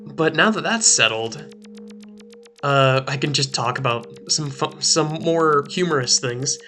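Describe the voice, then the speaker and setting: A young man doing casual gameplay commentary in a rambling, filler-heavy way, his voice a little rough from a recent sore throat. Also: on the high side, expressive, unhurried, sharp tone. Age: young adult. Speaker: let's play host. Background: faint game music.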